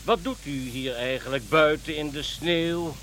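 A man's voice: a little high, a little slow, a bit bright, poor audio. A man playing a grave, devout king in a radio play, speaking formally like a preacher. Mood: questioning. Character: solemn, devout king following a star. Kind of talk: Dutch radio play acting. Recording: faint background hiss.

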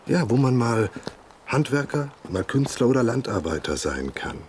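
A man's voice: mid-range voice, sing-song, moderate pace, a little mellow, a little hiss or hum, lightly acted. An actor in a radio drama playing a sly, glib man disguised as a monk, mock-pious and evasive. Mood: musing.

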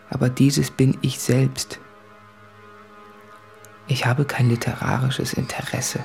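An actor playing a guilt-ridden male writer in a radio play, confessing in an intense, introspective, articulate monologue with a husky voice. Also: mid-range voice, darkish tone. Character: anguished, guilt-ridden male writer addressing his fiancée. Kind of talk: literary radio play monologue from letters and diaries.

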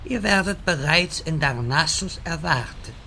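An actor playing a solemn old male wizard who speaks in a slow, measured, formal way in a comedy audio drama. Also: a little high, lively intonation, vocal fry, bright, forceful tone, slight background noise, full character voice.

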